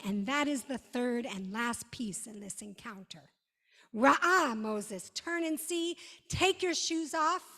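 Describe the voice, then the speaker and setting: A woman rabbi giving a sermon in an emphatic manner, with dramatic pauses and short repeated phrases. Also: high-pitched, fairly bright tone. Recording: long reverberant hall.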